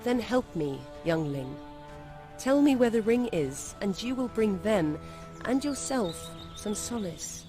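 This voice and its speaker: A woman playing an ancient vampire noblewoman in a video game, composed, formal and aloof in her deliberate phrasing. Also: medium pitch, lively intonation. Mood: persuasive. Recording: music behind.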